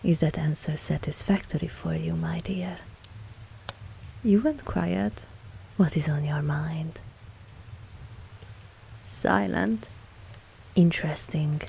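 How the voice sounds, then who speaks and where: A woman playing a dominant, seductive vampire mistress in an intimate ASMR roleplay, speaking softly and with measured, coolly protective authority. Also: low voice, gravelly, a little hiss or hum, heavily acted, rough recording. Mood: cool, teasing.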